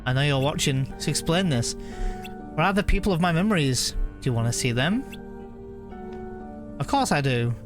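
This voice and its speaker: A man live streaming a video game, chatting casually and reading the on-screen text aloud in a halting way, often correcting himself. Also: on the high side, lively intonation, over music.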